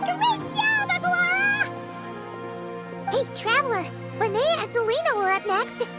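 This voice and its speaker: A woman voicing a tiny, excitable, cheerful, childlike companion creature in a video game, squealing and cheering exuberantly. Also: very high-pitched, measured pace. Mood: excited, encouraging. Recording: loud music behind the voice. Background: loud game music.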